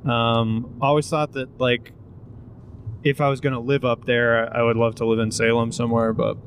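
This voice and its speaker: Laid-back male host, mid pitch, relaxed chatty delivery, light car hum. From North Shore of Massachusetts. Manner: easygoing, measured storyteller with many fillers. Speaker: comedian podcast host. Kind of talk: casual podcast banter. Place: inside a moving car. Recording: faint road noise in a car. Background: car ambience.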